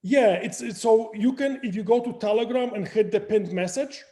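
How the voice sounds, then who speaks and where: Polish-accented man, high and mellow. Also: normal speed. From Poland. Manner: energetic, explains with hand gestures. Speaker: crypto project founder, guest. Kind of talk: video call interview. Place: home room with framed art and plants. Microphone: video call, webcam.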